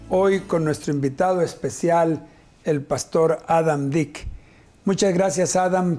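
Measured TV interview host, mellow voice. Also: male voice, on the high side. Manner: warm, measured welcome, frequent short affirmations. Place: TV studio set.

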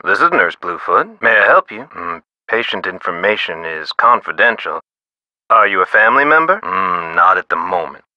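A man playing a prim, earnest, caring nurse in a mystery video game, speaking formally and emphatically with drawn-out words. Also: pushed and bright, no echo, heavily acted. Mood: polite, guarded. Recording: muffled low-bandwidth game audio.

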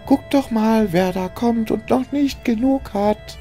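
Man putting on a high, brash, taunting girl. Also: slow, mellow, soft background music. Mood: mocking. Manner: sing-song, taunting.

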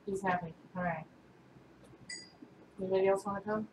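A woman's voice: medium pitch, steady tone, normal speed, roomy sound, distant mic, noisy background. A woman playing a video game with a friend, chatting casually, laughing often and getting flustered. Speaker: gaming video host. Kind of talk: casual gameplay chat.

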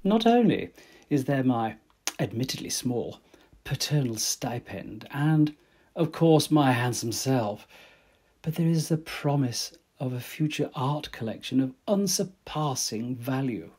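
An actor playing a droll, self-admiring young gentleman in a period audio drama, wry and mock-pompous. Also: medium pitch, expressive, measured pace, husky.

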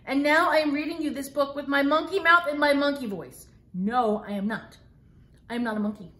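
A woman's voice: high voice, a little fast, pushed and bright. A middle-aged woman reading a children's book aloud in a theatrical, playful way with mock-exasperated asides. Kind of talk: animated read-aloud of a picture book for children. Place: living room at home, indoors. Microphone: phone camera a few feet away. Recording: roomy home phone-video sound.

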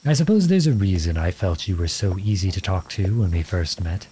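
A man playing a gentle, chivalrous young outlawed prince in a romantic fantasy audio roleplay, speaking in formal storybook phrases. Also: deep voice, expressive, brisk. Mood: gently curious. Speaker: ASMR roleplay voice actor. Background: horse hooves clip-clopping.

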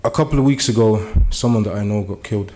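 Earnest young man giving a halting speech. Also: fairly low pitch, dark tone. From Germany. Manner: earnest, hesitant with many fillers, building to urgent appeals. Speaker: community speaker. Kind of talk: public speech at a meeting. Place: meeting room.

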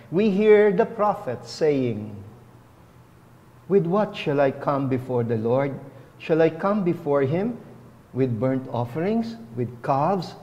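A middle-aged man, a bishop, giving a homily at a lectern in a chapel, speaking deliberately on a lapel mic. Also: a little high, a little mellow, long reverb tail. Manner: slow, deliberate, teaching tone with rising emphasis. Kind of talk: sermon.

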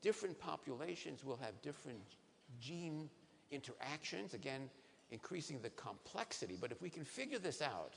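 A man in his sixties, a neurologist, giving a lively science lecture to patients on a conference stage, asking the audience questions. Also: medium pitch, vocal fry. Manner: animated, asks the audience questions. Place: large conference hall stage. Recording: reverberant hall, faint noise.

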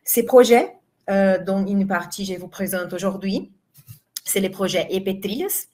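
A middle-aged Brazilian woman, a university professor, gives a conference talk in French with a Brazilian Portuguese accent. She speaks carefully, with hesitant pauses and frequent fillers. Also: medium pitch, darkish tone. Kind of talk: conference presentation. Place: home room, online. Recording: prerecorded video in echoey room, far from mic.

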